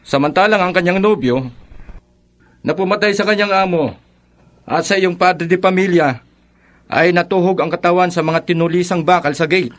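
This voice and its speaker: Solemn, higher-voiced police sergeant. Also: male voice, fairly bright tone. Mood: grave. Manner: measured, solemn, declarative. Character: grave, matter-of-fact male police sergeant reporting a crime. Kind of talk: radio drama acting.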